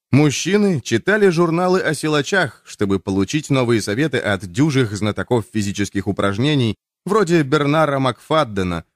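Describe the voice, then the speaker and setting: A male audiobook narrator with a mid-pitched voice reads nonfiction in measured phrases. His intonation moves widely and keeps the reading expressive. The sound is dry and close to the microphone. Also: no echo.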